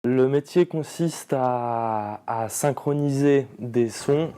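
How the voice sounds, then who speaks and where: A man working in sound post-production, explaining his job in a measured, technical way. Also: mid-range voice, heavy background noise. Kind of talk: explanatory interview.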